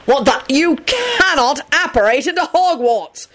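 A woman's voice: high voice, measured pace, no room sound, voice pushed up, heavily acted. A woman voicing a stern, indignant male magical lawman with a curt manner in a story reading. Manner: flat, curt, spitting words.

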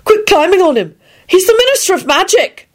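A woman's voice: very high voice, very animated, fairly quick, pitched above the performer's own voice. A woman voicing a frightened, awkward young man in a storybook, stammering and babbling frantically. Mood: shocked.

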